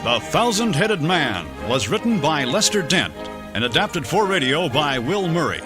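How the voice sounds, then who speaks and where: A man's voice: a little high, big pitch swings, moderate pace. A man narrating and announcing a pulp radio serial in a dramatic, scripted old-time style. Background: music.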